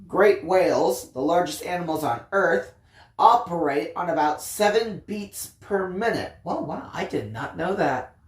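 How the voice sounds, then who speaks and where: A storyteller reading a first-person tale expressively, with theatrical emphasis and drawn-out phrases. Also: mid-range voice, expressive, echoey room. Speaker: audiobook reader, story time host.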